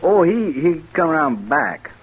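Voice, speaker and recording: A man's voice: high-pitched, expressive, a little slow, vocal fry, slight background noise, heavily acted. An actor playing a simple, earnest, deferential frontier townsman in an old-time radio western, with a folksy, respectful drawl.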